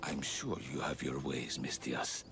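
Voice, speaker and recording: An actor playing a cautious, serious leader of refugees in a video game, formal and deliberate. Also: male voice, a bass voice, vocal fry, over music, full character voice. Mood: measured. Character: grave, cautious male refugee leader of ancient Greece. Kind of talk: video game cutscene acting.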